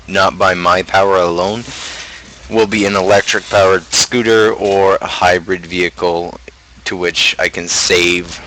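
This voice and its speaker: Low-voiced young man ranting casually. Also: sharp tone, slight background noise, poor audio. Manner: opinionated, ranting. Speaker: livestream host. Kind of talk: casual rambling livestream chat.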